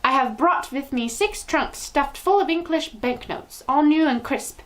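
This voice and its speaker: Tyrannical storybook witch boss, voiced by a woman, high and screechy, menacing, grandly theatrical. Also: a little slow, a bit bright. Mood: scheming. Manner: screeching, imperious, theatrical, oddly inverted grammar. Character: tyrannical, raging witch leader, shrill and menacing. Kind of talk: children's storybook character reading.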